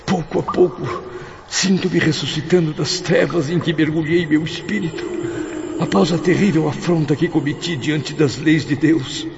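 A man voicing a tormented gravelly spirit. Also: fairly high pitch, normal speed, background noise. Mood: pained. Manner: solemn, pained first-person narration. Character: tormented male suicide spirit recalling his death. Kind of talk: radio drama narration. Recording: dull audio with music behind.

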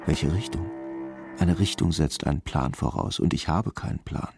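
An actor playing a brooding, intuitive police commissioner who muses slowly and repeats his phrases, in a radio crime drama. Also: very deep voice, gravelly, a little mellow, slightly rough, faint music behind, heavily acted. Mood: brooding, musing. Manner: slow, musing, repeats his own phrases.

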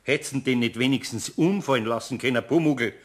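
A man plays an older Bavarian master craftsman in a radio play. His voice sits at a middle pitch with a homely dialect. He grumbles in a kindly way, indulgent and mildly exasperated. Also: fairly quick, slightly rough, natural voice. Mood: grumbling, weary. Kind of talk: German radio play acting.

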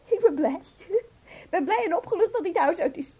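An actress in a radio drama playing a distraught, furious wife, overwrought and given to outbursts. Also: female voice, very high-pitched, lively intonation, brisk, warm tone. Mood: bitter, shaken. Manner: overwrought, emotional, swinging from muttering to screaming. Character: distraught, furious wife throwing her partner out.

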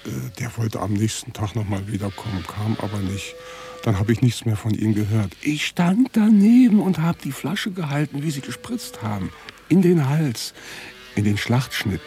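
An actor playing a bitter, evasive contract calf fattener in a radio drama, husky and blunt, with a sardonic, coarse way of talking. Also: male voice, mid-range voice, lively intonation, moderate pace, mellow, soft background music, natural voice. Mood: evasive, grim. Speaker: contract livestock farmer. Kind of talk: naturalistic radio drama dialogue.

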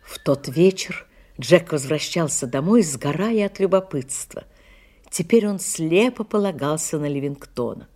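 A woman's voice: deep voice, lively intonation, a little slow, a little mellow. A woman narrating an audio drama in a scripted storytelling style.

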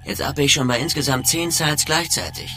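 An actor playing a cocky young male software pirate, telling excited, boastful stories in slang in a radio drama. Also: a little high, normal speed, music in the background, natural voice.

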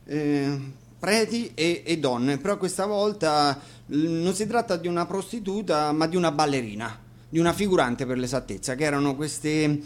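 A man's voice: fairly high pitch, moderate pace. A man, a musician giving informal, hesitant and digressive explanations between songs at an acoustic concert. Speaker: musician and band frontman introducing songs. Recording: live radio concert with instruments.

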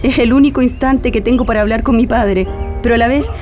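Actress as higher-voiced grieving Chilean woman, music behind. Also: quick pace, a little mellow, lightly acted. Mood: wistful, grieving. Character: grieving daughter Mónica, earnest, wistful, pleading adult woman. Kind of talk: radio drama acting. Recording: radio play with music underneath.

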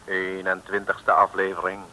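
A man's voice: low-pitched, completely flat delivery, normal speed, sharp tone, faint music behind, low-quality recording. A man narrating a comic radio serial in a playful, mock-solemn manner.

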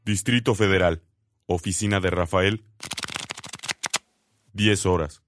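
Man, scene announcer, low-pitched, unhurried, grave clipped phrases, noisy background. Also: fairly even intonation. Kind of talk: terse scripted scene headings for a radio drama.

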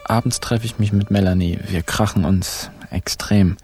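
An actor playing a wry young narrator of a radio crime comedy, in clipped, ironic diary entries. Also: male voice, on the low side, steady tone, moderate pace, darkish tone, close to an everyday voice. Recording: music under narration.

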